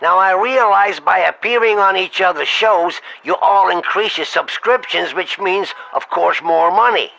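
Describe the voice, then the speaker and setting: A man's voice: high-pitched, unhurried, gravelly, hardly any pauses, pushed and bright, heavily acted. A man playing an exasperated, foul-mouthed talent agent in a comedy phone-call sketch, blunt and sarcastic as he scolds his client. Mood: exasperated, dismissive. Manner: blunt, scolding, sarcastic, talking over the other.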